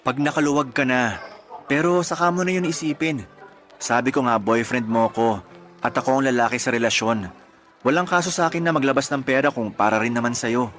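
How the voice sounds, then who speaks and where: Actor as patient Filipino boyfriend, mid-pitched voice. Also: male voice, a little fast, a bit bright, close to an everyday voice. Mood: reassuring. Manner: reassuring, easygoing. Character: supportive, generous, patient young boyfriend. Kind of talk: Tagalog radio drama dialogue. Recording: light music behind the voice. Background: light background music.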